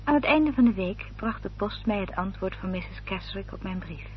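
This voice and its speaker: Woman acting a firm, guarded victorian lady in an old radio play, measured and proper, dull sound with faint noise. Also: medium pitch, lively intonation, natural voice. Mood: composed, narrating. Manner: measured, formal, articulate. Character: resolute, guarded Victorian gentlewoman, protective of her sister. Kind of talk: Dutch radio drama acting and narration.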